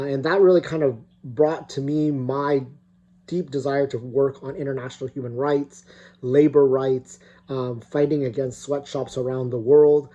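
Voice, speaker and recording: A man's voice: mid-range voice, dark tone. A middle-aged man from California giving a recorded opening talk as guest speaker, hesitant with many fillers and restarts. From California, United States.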